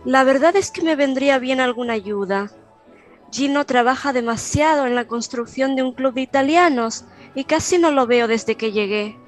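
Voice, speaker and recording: A woman's voice: high-pitched, normal speed, soft background music, lightly acted. A woman playing a polite, reserved Italian immigrant mother in a Spanish-language radio soap.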